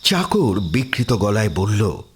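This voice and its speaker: Slow, animated male horror narrator. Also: on the low side, slight background noise. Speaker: storyteller, narrator. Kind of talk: dramatic scripted horror narration.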